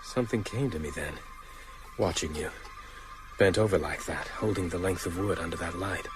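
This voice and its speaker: An actor in an audio drama playing a determined, jittery man hunting vampires, urgent and halting as he thinks aloud. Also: deep voice, music in the background, natural voice, poor audio. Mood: distracted. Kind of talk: broadcast audio drama acting. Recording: loud sound-effect noise behind voice.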